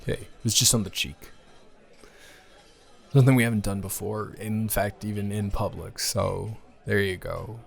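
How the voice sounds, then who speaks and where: A man playing a smitten young man in an improvised romantic audio roleplay, speaking to his casual lover in a hesitant, earnest, halting way. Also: fairly low pitch, fairly even intonation, vocal fry. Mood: tender, playful.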